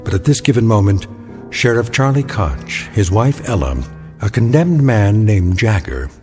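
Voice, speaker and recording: Low-voiced ominous male narrator. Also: sing-song. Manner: measured, ominous. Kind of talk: scripted narration. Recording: narration over music.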